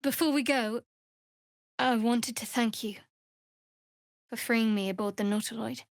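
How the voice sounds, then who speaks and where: An actress playing a guarded, sardonic young female cleric of a dark goddess in a video game, speaking with dry, wry, clipped sarcasm. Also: on the high side, unhurried, no echo. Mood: guarded, matter-of-fact. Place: dry studio recording.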